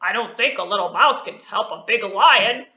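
A woman voicing a big, proud male lion who talks loudly and boastfully in a puppet show. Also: medium pitch, normal speed, close to an everyday voice. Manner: loud, boastful, roaring. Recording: echoey room, dull lo-fi sound.

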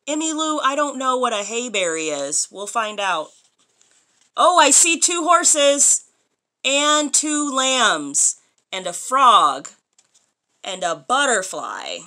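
Expressive woman reading to a child, lively pitch. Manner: sing-song, expressive, child-directed. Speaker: storyteller reading a children's picture book aloud. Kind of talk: read-aloud to a young child, with questions and commentary. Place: small furnished room indoors.

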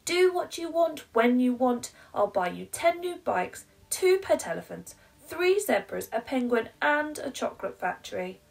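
A woman's voice: on the high side, creaky, a little mellow, recorded from a distance, pitched above the performer's own voice, exaggerated voice, low-quality recording. A woman voicing an exasperated, pleading mum from a children's picture book, piling up promises emphatically to bargain with her child. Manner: breathless piled-up promises, emphatic.

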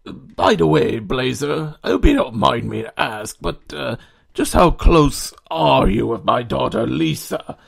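A man's voice: mid-range voice, sing-song, a little slow, vocal fry, heavily acted. A man voicing a dramatic, anxious inventor father with wry asides, in an amateur game fandub. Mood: awkward, suspicious. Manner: theatrical, self-mocking asides.

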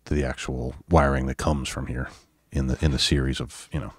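A man giving a casual, unscripted electronics demo in a halting, self-deprecating way with wry humor. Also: a bass voice, flat delivery, breathy edge, dry recording, studio quality. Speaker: electronics hobbyist presenter. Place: indoors at a home workbench.

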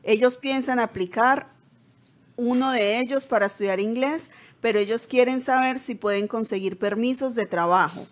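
A woman's voice: fairly high pitch, moderate pace. A woman co-hosting a studio show, chatting and reading viewers' messages aloud. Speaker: co-host reading viewer questions. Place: indoor studio.

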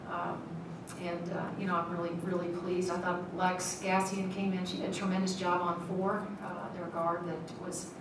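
A woman's voice: lowish voice, level tone. Woman coach at a press table. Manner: measured, many ums and uhs, restarts. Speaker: head basketball coach. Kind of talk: postgame press conference. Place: press room, seated at a table. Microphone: table mic, at a distance. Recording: echoey room, mic at a distance, noisy.

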